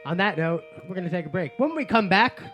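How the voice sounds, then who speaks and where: A man co-hosting a casual talk show, his voice pitched quite high. He rambles along with plenty of fillers, and soft music plays faintly behind him. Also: lively intonation, quick pace. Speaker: co-host. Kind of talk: casual chat.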